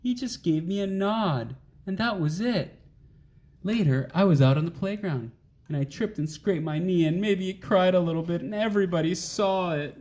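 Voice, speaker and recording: A man voicing a wistful, self-deprecating uncool young bean in an expressive children's storybook reading. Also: high-pitched, moderate pace, pitched above the performer's own voice, natural voice. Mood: earnest, hopeful. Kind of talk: children's picture book read aloud.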